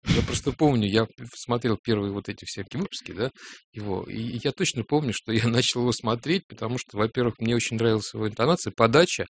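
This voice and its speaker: Male radio host, Moscow accent, teasing and animated banter. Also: medium pitch, fast talker, no echo. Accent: Moscow Russian. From Moscow, Russia. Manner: animated, jokey, teasing, laughs. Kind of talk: casual on-air chat.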